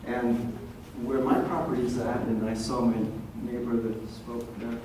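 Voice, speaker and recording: Soft-spoken older man in an echoey hall. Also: mid-range voice, little pitch movement. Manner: soft-spoken, rambling with pauses. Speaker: resident speaking at a public meeting. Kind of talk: public comment at a community meeting. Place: large echoey meeting room. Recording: echoey room, distant mic, faint hiss.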